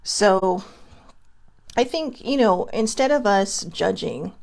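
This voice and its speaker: A woman from Hawaii hosts a casual vlog and speaks directly to her audience. She wanders from thought to thought, restarting sentences and leaning on little fillers. Her mid-pitched voice has a gravelly, creaky texture. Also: lively intonation, takes it slowly, darkish tone. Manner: chatty, meandering, many fillers and restarts. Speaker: vlog host. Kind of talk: casual rambling monologue to viewers.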